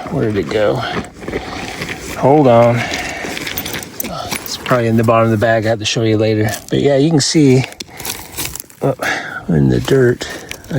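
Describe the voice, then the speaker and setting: A man vlogging casually outdoors, showing finds in short, laid-back muttered fragments with a gravelly, husky voice. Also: medium pitch, noisy background. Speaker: rockhounding vlogger. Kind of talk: casual outdoor show-and-tell. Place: outdoors on open hilly ground.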